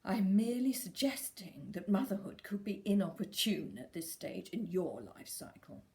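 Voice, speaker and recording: A woman's voice: mid-range voice, lively intonation, normal speed, vocal fry, a little mellow. A woman voicing a precocious, polite but disapproving talking fetus in a read story, formal and probing in manner. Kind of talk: storybook character reading.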